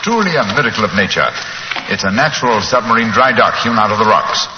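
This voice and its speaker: An actor playing an urbane English gentleman detective in a 1940s radio drama, precise, courteous and theatrical. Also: fairly low pitch, lively intonation, fast, lightly acted. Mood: urbane delight. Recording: muffled, hissy 1940s radio recording.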